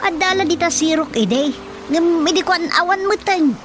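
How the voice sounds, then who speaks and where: A woman's voice: extremely high pitch, moderate pace, slight background noise. A woman acting a fretful, complaining village woman with an Ilocano accent in a radio drama comedy. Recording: music bed behind the voice.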